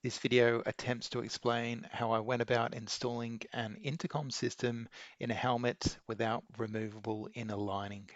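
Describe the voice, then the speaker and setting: A man reading a scripted how-to narration in a measured, methodical way. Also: medium pitch, no echo, highs cut off. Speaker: video maker giving an installation tutorial.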